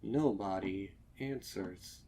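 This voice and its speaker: A man narrating a horror story from a script, dramatically, with deliberate pauses and ominous emphasis. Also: low voice, warm tone, poor audio. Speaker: fan fiction narrator.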